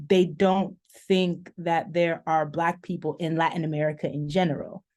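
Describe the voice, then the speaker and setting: Low-voiced woman speaking thoughtfully on a panel. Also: flat delivery, darkish tone. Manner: thoughtful, many "um" pauses, stretches key words. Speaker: panelist, sociology professor. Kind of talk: panel discussion remarks over video call.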